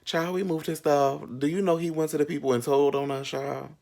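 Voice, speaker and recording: A man's voice: fairly high pitch, level tone, a little fast, creaky, darkish tone. A young American man vlogging casually, telling stories to viewers in a sassy, gossipy, playful way full of slang. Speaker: vlog host. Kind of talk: casual chatty storytelling to viewers.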